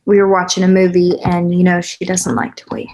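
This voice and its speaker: An actress playing a soft-hearted, secretive woman in a screenplay table read. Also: on the low side, completely flat delivery, fairly quick, natural voice. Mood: anxious, pleading. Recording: noisy, with clicking.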